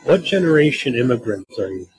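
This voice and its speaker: Podcast host guy, kinda raspy, rambles thru his questions, dry close mic. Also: mid-range voice, very animated, a little fast, no echo, low-quality recording. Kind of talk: interview questions.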